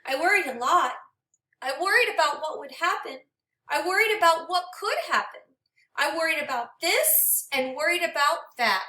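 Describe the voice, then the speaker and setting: Bright, high-voiced woman reading aloud to children. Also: moderate pace. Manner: expressive, dramatic storytelling aimed at young children. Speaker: teacher reading a picture book aloud. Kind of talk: interactive children's read-aloud with questions to listeners. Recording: echoey room, distant microphone.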